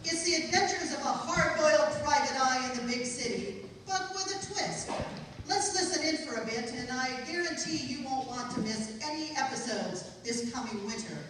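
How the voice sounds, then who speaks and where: A woman playing a brisk, wry co-announcer in a scripted old-time radio show. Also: high-pitched, close to an everyday voice. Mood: brisk, selling. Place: college stage performance in a large hall. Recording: echoey hall from far off, noisy.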